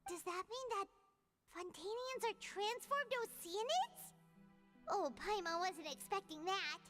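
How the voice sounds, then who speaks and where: A woman voicing a small, chatty, excitable childlike fairy companion in a video game. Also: very high-pitched, a little slow, faint music behind, heavily acted. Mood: curious, thoughtful. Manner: refers to self in third person.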